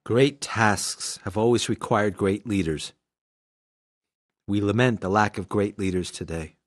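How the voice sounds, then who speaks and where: Actor as male doctor-sage, sixty, radio drama. Solemn, composed, lowish voice. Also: unhurried. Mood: solemn, collected. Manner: solemn, declarative. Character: cool, collected sixty-year-old male doctor and sage. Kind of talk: scripted radio drama acting.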